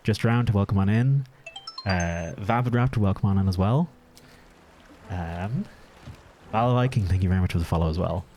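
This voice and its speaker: A man's voice: deep voice, normal speed, long pauses, a little mellow, a little hiss or hum. A man with an Irish accent, chatting casually and self-deprecatingly with his audience as he streams a game, cursing often. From Ireland. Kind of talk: casual live-stream chat while gaming. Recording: music playing behind.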